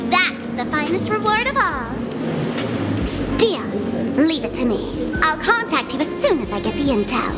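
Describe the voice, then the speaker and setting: A mischievous young trickster from a video game. She is high-voiced and theatrical, drawing out her words in a slow, swooping tease. Loud music plays behind her. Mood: sly. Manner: teasing, dramatic, giggly. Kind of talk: video game character voice acting.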